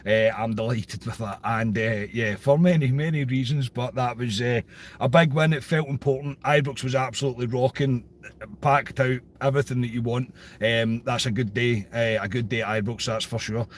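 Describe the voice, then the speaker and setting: A Scottish man hosting a casual football podcast, his voice a bit croaky, chatty and repeating phrases for emphasis. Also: mid-range voice, steady tone, normal speed, a little hiss or hum. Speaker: podcast host.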